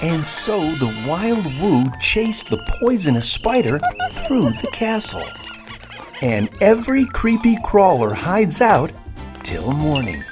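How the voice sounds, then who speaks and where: Woman narrator, low lilting voice, gentle expressive children's bedtime storytelling, music behind. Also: slow. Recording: music under the voice.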